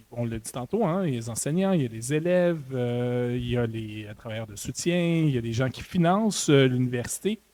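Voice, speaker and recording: A man hosting an interview who asks long, measured questions. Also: a little high, darkish tone. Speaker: interviewer, host.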